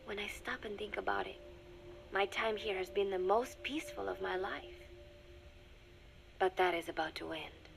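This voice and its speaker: An actress playing a young secret agent confiding in a recorded diary, speaking in measured, formal phrases that often drop to a murmur, wistful and resigned. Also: female voice, mid-range voice, vocal fry, slight background noise. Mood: wistful, resolute. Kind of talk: scripted dramatic monologue, recorded diary.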